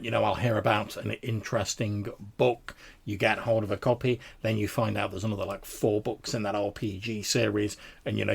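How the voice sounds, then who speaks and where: A man with a slightly low, gravelly voice hosts a hobby podcast. His tone is husky and rough-edged, and he talks casually, as if chatting back to a listener. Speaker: podcast host.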